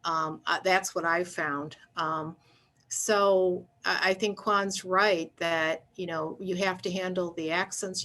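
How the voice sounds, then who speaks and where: A woman with a strong Midwestern accent, a computer science professor leading an online seminar discussion, casual and halting. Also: on the low side, a bit bright. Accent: Midwestern American. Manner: casual, halting, repeats words. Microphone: video call.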